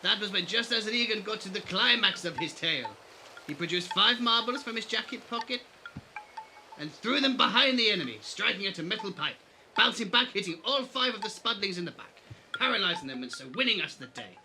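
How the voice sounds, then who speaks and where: A high, bright, jovial boastful baron. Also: male voice, lively intonation, brisk, slight background noise, exaggerated voice, low-quality recording. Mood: jovial, storytelling. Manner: effusive, bantering, foreign-style broken phrasing. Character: jovial, boastful male baron running a beach paradise. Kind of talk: audio drama acting.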